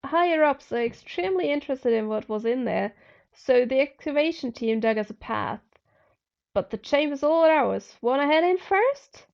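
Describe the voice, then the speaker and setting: A woman voicing a matter-of-fact, mildly disapproving woman expedition teammate in a story reading, casual and brisk. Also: sits high, lively intonation, creaky, lo-fi sound.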